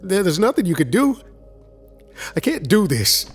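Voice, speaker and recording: A man's voice: high voice, very animated, normal speed, soft background music. A man playing a distraught, defensive father in an audio drama, bitter and stammering with agitation. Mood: agitated, despairing.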